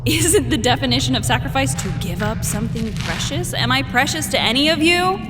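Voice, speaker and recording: High-voiced, sassy, indignant captive. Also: female voice, animated, fairly quick. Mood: sassy, arguing. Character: sassy indignant woman held captive as a sacrifice. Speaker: abducted show host in audio play. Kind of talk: comedic audio drama acting. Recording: long hall reverb with music behind.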